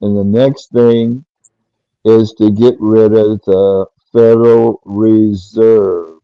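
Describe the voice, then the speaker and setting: A man's voice: lowish voice, flat delivery, slow pace, clear, resonant tone, no echo. A man in a casual political discussion, speaking hesitantly with many uhs. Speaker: panelist. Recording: dull lo-fi dry sound.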